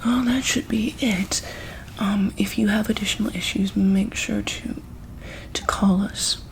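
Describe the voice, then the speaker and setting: A woman playing a calm, caring monster-hospital doctor in an ASMR roleplay, speaking softly and hesitantly in a breathy whisper. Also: medium pitch, measured pace, noisy background, natural voice. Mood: gentle, reassuring. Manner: hesitant, gentle, soft-spoken.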